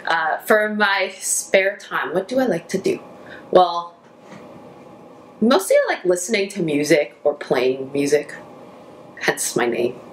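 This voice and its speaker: Woman, low voice, raised in Canada, campus minister, casual interview, relaxed. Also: slow, long pauses, slight background noise, poor audio.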